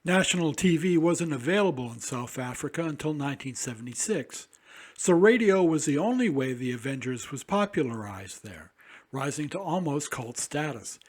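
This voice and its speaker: A man's voice: on the high side, animated, moderate pace. A man presenting a scripted introduction to an old radio show.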